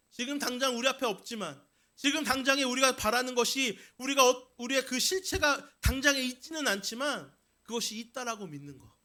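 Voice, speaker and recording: Male pastor, very high voice, bright forceful tone, emphatic sermon delivery, repeated phrases, rhetorical questions. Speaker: pastor preaching. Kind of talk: Sunday sermon to a congregation.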